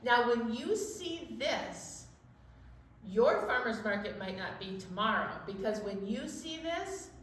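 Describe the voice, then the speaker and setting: A woman presenting a children's storytime in a playful, animated way, moving between chatty talk and sing-along. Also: fairly high pitch, takes it slowly. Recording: very echoey room, distant mic, faint noise.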